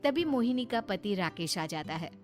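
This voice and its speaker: A woman's voice: mid-range voice, expressive, normal speed, over music. A woman reading a scripted story as its narrator.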